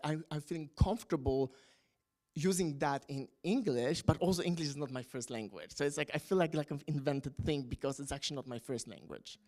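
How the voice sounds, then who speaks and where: Man, halting panel talk. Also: on the high side, darkish tone. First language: Polish. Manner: hesitant, many fillers and restarts. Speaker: academic panelist.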